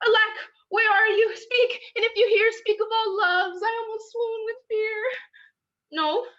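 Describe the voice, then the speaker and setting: Woman as a modest young noblewoman, verse, very high, bright tone. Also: lively intonation, moderate pace. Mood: frightened. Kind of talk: Shakespearean stage acting. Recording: dull lo-fi sound.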